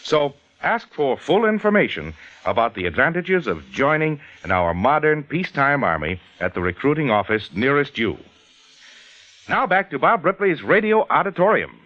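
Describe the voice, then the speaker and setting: A man's voice: on the high side, sing-song, normal speed, a bit bright. An American man reading a 1940s radio advertisement in an earnest, formal, persuasive way. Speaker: commercial announcer. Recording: vintage 1940s radio broadcast.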